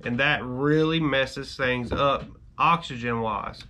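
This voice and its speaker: A man's voice: mid-range voice, takes it slowly, pushed and bright, slight background noise. A man in his thirties, a fishing channel host, explaining to camera in a chatty, easygoing way full of "you know" fillers, from a workshop at desk distance. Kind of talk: casual instructional talk to camera. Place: cluttered garage workshop, indoors.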